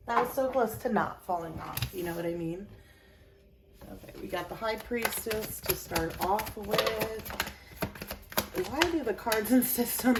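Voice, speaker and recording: A young woman reads tarot cards in a casual, self-deprecating way, slightly under the weather with a scratchy throat. Her voice carries some room echo and sits a little back from the microphone, with clear background noise throughout. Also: mid-range voice. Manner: chatty, self-deprecating, laughs often. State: slightly sick with an itchy throat and chest cough. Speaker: tarot reader. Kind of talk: casual tarot reading to camera. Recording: roomy echo, mic at a distance, noisy.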